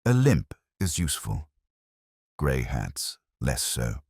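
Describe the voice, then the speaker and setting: A man playing a courteous, unhurried police inspector in a storybook mystery. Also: rumbling low, huge pitch swings, vocal fry, a little mellow, slightly rough, dry recording, studio quality, heavily acted. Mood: composed. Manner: courteous, terse.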